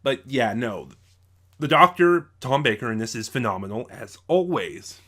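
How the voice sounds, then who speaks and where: A man's voice: mid-range voice, animated, moderate pace, sharp tone. A man reviewing audio dramas in unscripted commentary, thinking aloud and often rephrasing himself mid-sentence.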